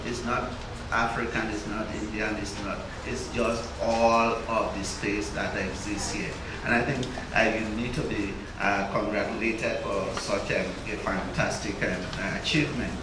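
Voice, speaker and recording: A man's voice: lowish voice, fairly even intonation, unhurried. Guy asking an author questions, lots of ums, sounds far from the mic in an echoey room. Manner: hesitant, full of "uh" and "um". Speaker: interviewer at a book launch. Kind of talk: live onstage interview. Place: stage before a large indoor audience. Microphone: handheld mic. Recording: echoey hall, distant from the mic, with noise.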